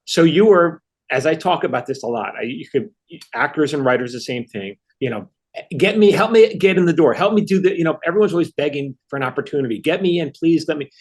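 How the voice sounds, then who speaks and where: A man hosts a podcast, asking eager, enthusiastic questions. His voice sits slightly high and has a husky edge. He often restarts his sentences mid-thought. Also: expressive, quick pace. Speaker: podcast host, interviewer. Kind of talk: casual interview chat.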